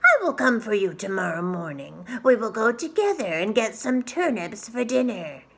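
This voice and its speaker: A woman's voice: a little high, big pitch swings, normal speed, noisy background. A woman voicing a hungry, scheming male wolf villain in a children's storybook, coaxing and theatrical. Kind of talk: children's storybook read-aloud.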